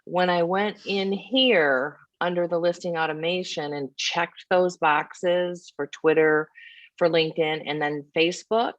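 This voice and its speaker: A woman's voice: lowish voice, steady tone, unhurried, no room sound. A woman taking part in a webinar, casually explaining a tip over dull call audio. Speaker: webinar participant sharing a tip.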